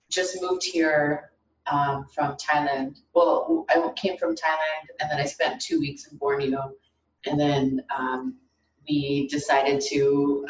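Female call participant, low register, halting casual delivery, dull roomy sound with faint noise. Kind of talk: casual personal check-in. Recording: muffled, roomy, distant mic with faint noise.

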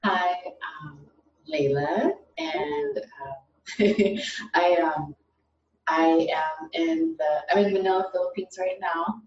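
A woman on an online call, heard through a muffled, roomy, distant mic, giving a casual, halting personal check-in. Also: fairly low pitch, big pitch swings, a little mellow. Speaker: participant in a group call.

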